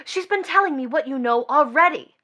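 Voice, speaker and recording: A woman's voice: high-pitched, expressive, a little fast, sharp tone. An actress playing a defiant, scornful young woman in a stage play, imperious, mocking and melodramatic. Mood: impatient. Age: young adult.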